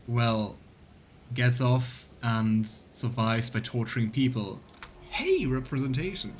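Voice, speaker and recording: Male let's play streamer, casual and musing, mid-pitched, with some game ambience and noise behind. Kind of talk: casual live game commentary, sometimes reading game text aloud.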